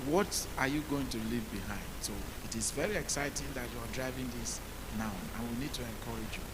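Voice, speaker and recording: A deep-voiced male policymaker on a conference panel, speaking in a measured way and asking the audience rhetorical questions. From Nigeria. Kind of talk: panel talk. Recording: hissy conference mic.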